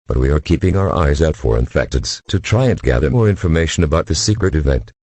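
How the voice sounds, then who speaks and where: A man performs a male news anchor with an extremely deep, husky voice. He delivers a formal, measured emergency bulletin in a grave manner. The sound is dry, with no room around it. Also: darkish tone, dry recording, full character voice.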